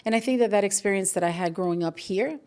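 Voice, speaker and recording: A middle-aged woman, talking thoughtfully and deliberately in a conversational interview, stressing key words. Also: mid-range voice, darkish tone. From New York City. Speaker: podcast host and activist being interviewed.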